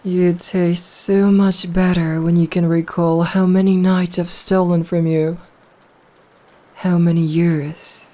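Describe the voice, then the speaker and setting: A dominant, predatory vampire woman, acted by a woman in an ASMR roleplay. She speaks extremely slowly in a mellow, dark tone, hushed and hypnotic. Her pitch barely moves, keeping every phrase controlled and possessive. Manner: slow, hushed, deliberate, hypnotic. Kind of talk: slow, intimate ASMR roleplay.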